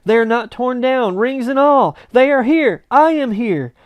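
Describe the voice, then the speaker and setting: A man's voice: very high-pitched, animated, measured pace, smooth, dry recording, lo-fi sound, voice pushed up. A man voicing an elated, reformed old miser from a storybook, exuberant and full of repeated exclamations. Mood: joyful relief.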